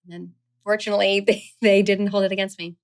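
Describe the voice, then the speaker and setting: A woman from New Jersey, a guest actress, chatting in an animated way, telling stories about her past. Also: medium pitch, fast. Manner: animated storyteller, bright and chatty. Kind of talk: casual interview chat.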